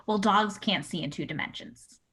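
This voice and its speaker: A woman's voice: medium pitch, normal speed, pushed and bright, slightly rough. A woman playing a blunt young female veterinarian in a parody radio play, brusque and quizzing. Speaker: comedy radio-play actor.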